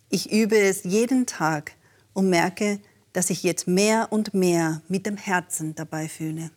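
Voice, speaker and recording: A woman with a mid-range voice reads letters from listeners on air. She speaks gently and reflectively, in a scripted reading style. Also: medium pitch.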